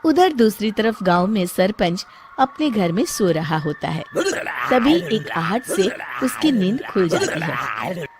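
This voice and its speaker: Lively woman story narrator. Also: mid-range voice, takes it slowly, crowd noise. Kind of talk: scripted children's story narration. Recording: clearly noisy background.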